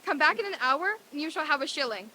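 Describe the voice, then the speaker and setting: A woman's voice: high-pitched, animated, fast, sharp tone, slight background noise, poor audio. A woman playing a reformed, overjoyed old miser in a theatrical old-time radio drama reading, with exclamatory delivery. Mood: giddy delight.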